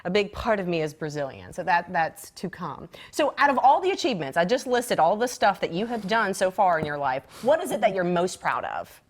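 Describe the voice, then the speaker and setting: A woman hosting an interview show, asking her questions in an enthusiastic and expressive way. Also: fairly low pitch, a little fast, few pauses. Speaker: show host.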